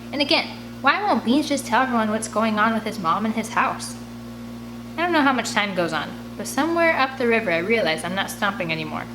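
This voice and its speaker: A woman's voice: medium pitch, background noise. A woman reading a children's novel aloud in the first person, expressively, acting out the dialogue. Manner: animated, acts out dialogue. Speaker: audiobook reader.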